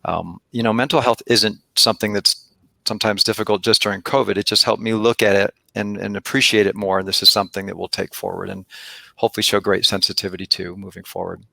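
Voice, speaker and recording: Man, executive panelist, gravelly, dry close audio, rambling with fillers. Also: on the low side, fairly even intonation, quick pace, bright, forceful tone, no room sound. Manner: earnest, rambling, many "you know" and "um". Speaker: CEO panelist. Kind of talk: video-call panel discussion.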